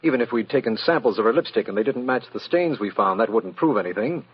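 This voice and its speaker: An actor playing an earnest, slightly baffled district attorney in an old-time radio mystery, formal and questioning. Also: male voice, medium pitch, animated, fast, slightly rough. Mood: skeptical. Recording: muffled vintage radio recording.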